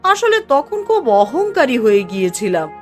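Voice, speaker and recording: Woman as cartoon village housewife, middle-aged, high pitch, very lively intonation, expressive. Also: normal speed, soft background music. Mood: worried, regretful. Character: emotional village mother and neighbour wife, middle-aged. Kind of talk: Bengali moral-story cartoon voice acting.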